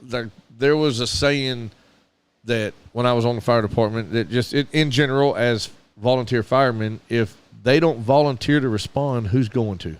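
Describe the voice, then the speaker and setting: A man's voice: mid-range voice, normal speed, clear, resonant tone. A middle-aged man with a Southern American accent, co-hosting a casual radio podcast and telling stories earnestly. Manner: earnest, storytelling, with repeated restarts. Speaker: podcast co-host.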